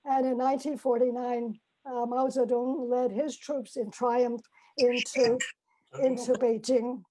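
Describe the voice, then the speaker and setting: A woman's voice: on the high side, level tone, a little slow, rough recording. An older woman, a professor, telling her life story in a reflective talk on a video call, with frequent fillers and restarts. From China. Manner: gentle, many fillers and restarts. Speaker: professor giving a personal talk. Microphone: video call mic.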